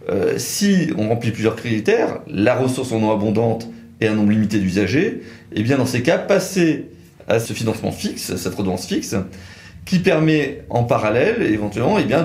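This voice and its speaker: A man's voice: mid-range voice, a little mellow. A man co-presenting a legal explainer in a methodical way with formal phrasing. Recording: echoey room.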